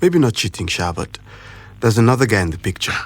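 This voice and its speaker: A man's voice: on the low side, big pitch swings, a little fast, a little hiss or hum. An actor with a Nigerian accent playing a hurt, defensive young man in an audio drama. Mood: hurt, brooding. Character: hurt, defensive young man troubled over his girlfriend.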